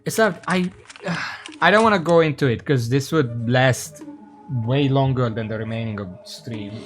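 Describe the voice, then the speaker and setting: A man's voice: medium pitch, measured pace, over music. A man from Hungary, giving casual, rambling, sweary live commentary as he streams a game and chats with viewers.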